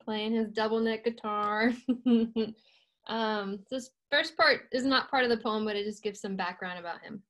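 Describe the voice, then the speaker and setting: Earnest woman reading her poem, rather high. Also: fairly even intonation, a little slow, fairly bright tone. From United States. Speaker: poet. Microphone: video call.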